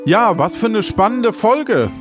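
A man hosting an audio play as game master, talking casually and informally with halting phrasing. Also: high-pitched, sing-song. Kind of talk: casual podcast talk and narration. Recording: music behind the voice.

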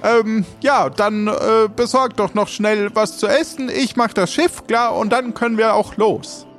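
A man playing a flustered, easily flattered ship navigator who sighs and speaks haltingly. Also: sits high, faint music behind, pitched above the performer's own voice. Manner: halting, sighing with repeated "ach".

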